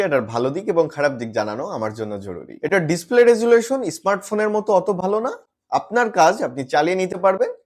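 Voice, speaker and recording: A man presenting a product review to viewers as a gadget reviewer, mixing in English words and phrases. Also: high voice.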